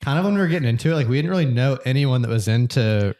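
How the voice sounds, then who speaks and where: A man in his late twenties co-hosts a relaxed podcast. He talks in long, meandering runs full of fillers and restarts, and his voice sits at an ordinary, medium pitch. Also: racing. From Georgia, USA. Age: 20s. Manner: rambling, many fillers and restarts. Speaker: podcast co-host. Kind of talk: casual podcast chat.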